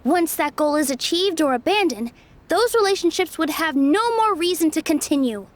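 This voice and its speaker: A high, soft young woman's voice plays a loyal dancer in a game story. She is sincere and protective. There is faint noise behind her. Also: lively intonation, moderate pace. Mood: hushed, sympathetic. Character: gentle, loyal young female dancer friend. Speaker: voice actor in a game dub. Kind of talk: dramatic game dialogue.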